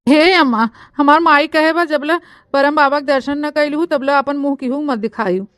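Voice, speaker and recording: High-voiced scheming village bride played by an actress. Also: female voice, normal speed, a bit bright, dry recording, studio quality. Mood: evasive. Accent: eastern Uttar Pradesh. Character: sly rural new bride hiding a secret from in-laws. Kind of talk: comic village story dialogue and monologue in dialect.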